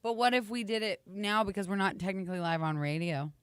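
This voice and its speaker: A raspy-voiced woman with a Midwestern American accent, co-hosting a casual morning show over a video call and teasing her partner. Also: medium pitch, fast, no room sound. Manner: teasing, chatty, animated with guests. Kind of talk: casual chat.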